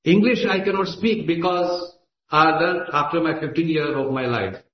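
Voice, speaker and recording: A man's voice: on the high side, moderate pace. Emphatic Indian man giving a speech. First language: Gujarati. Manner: emphatic, repetitive, declamatory. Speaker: speaker at a meeting. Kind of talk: impassioned speech. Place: assembly hall. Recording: echoey lo-fi hall recording.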